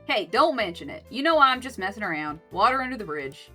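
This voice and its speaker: Woman putting on a sassy, bright Southern animal mom. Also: mid-range voice, big pitch swings, fairly quick, soft background music. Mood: wry, friendly. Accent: American Southern. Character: friendly, teasing anthropomorphic animal coffee shop mother.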